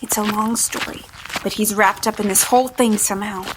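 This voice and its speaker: A woman's voice: mid-range voice, fairly even intonation, brisk, background noise, natural voice. An actress playing a hunted, wary woman in an audio drama, speaking with urgency. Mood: grave. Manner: urgent, tense. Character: hunted, wary woman who has died before.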